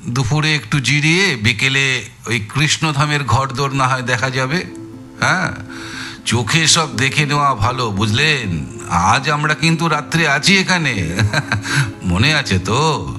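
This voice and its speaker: A man's voice: medium pitch, expressive, unhurried, natural voice. A man acting a shrewd, genial investigator in an audio story, questioning with hums and tag questions. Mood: genial, musing. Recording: music bed behind the voice.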